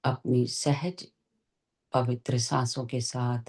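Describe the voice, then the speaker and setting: Very slow, gentle meditation guide. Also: medium pitch, flat delivery. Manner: slow, deliberate, with long pauses between short phrases. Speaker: meditation teacher leading a session.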